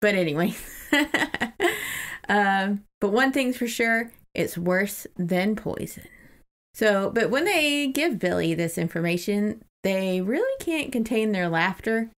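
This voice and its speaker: A woman talks through a story in a casual podcast style. Her voice sits at an ordinary middle pitch, and her delivery is conversational and irreverent, like a co-host recapping a book for a friend. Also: lively intonation, slow pace.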